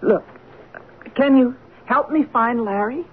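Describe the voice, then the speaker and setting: A young wife who is anxious and pleading, speaking in halting, plaintive phrases. It is vintage radio drama, heard through a narrow, tinny broadcast with faint hiss. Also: female voice, on the high side. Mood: subdued, worried. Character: distraught, pleading young wife, pretty and naive. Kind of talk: old-time radio drama acting. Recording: tinny, hissy old radio recording.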